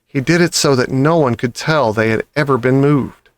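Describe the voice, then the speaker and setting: A man's voice: medium pitch, moderate pace, no room sound. A man reading a children's story aloud as scripted narration. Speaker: audiobook reader.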